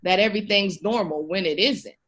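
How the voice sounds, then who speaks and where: A woman's voice: medium pitch, a little fast, a bit bright. Emphatic, encouraging woman in conversation. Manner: emphatic, encouraging, speaks directly to the listener. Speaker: podcast guest, education researcher. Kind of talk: conversational podcast interview.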